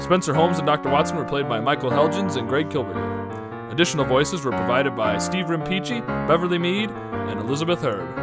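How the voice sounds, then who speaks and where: A man hosting a radio drama who reads the credits in a relaxed, playful way. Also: mid-range voice. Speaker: host and writer of the show. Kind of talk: scripted show outro.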